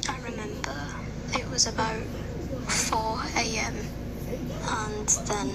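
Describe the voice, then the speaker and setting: A woman's voice: fairly high pitch, level tone, slow pace. A teenage girl from England, telling a personal story as narration. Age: teens. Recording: noisy playback of a video. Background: loud background noise.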